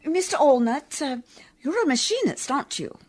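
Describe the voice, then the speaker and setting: A woman's voice: sits high, sing-song, normal speed, a little mellow. A woman playing a prim, proper and determined lady in a radio drama, speaking in formal, precise phrases and persistent questions. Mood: eager, scheming. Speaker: actor in a community radio theatre. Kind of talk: radio drama acting in an old Hollywood radio style.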